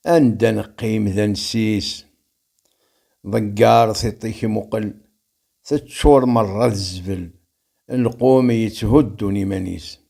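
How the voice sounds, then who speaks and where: A man with a Kabyle accent reciting rhymed verse as a poet, in a measured, declamatory delivery. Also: lowish voice, creaky, a little mellow.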